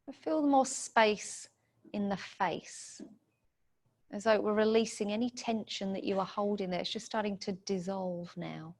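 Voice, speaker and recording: A woman guides a meditation in a mid-pitched voice. She gives soothing, gentle instructions in short, unhurried phrases, leaving room for the listener to follow. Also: steady tone.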